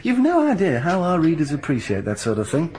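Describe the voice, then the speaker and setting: An actor playing a cheerful, pushy newspaper reporter in a radio drama, chatty and breezy. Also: male voice, fairly high pitch, wildly swooping pitch, brisk, darkish tone, a little hiss or hum. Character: brash cheerful young male newspaper reporter. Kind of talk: 1980s BBC radio drama acting.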